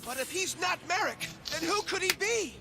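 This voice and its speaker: A man's voice: very high voice, lively intonation, normal speed. An actor voicing an earnest teenage boy hero who speaks in a thoughtful, sincere way. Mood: puzzled. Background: dub soundtrack music.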